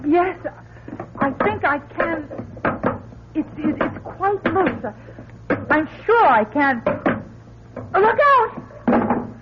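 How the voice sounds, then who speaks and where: A high, unhurried voice on a dull radio recording: a nervous but brave woman in a suspense drama. She speaks haltingly and with effort, as if scouting somewhere dark. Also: animated, very noisy, exaggerated voice. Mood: straining, uncertain. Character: anxious but plucky woman scouting a dark escape tunnel.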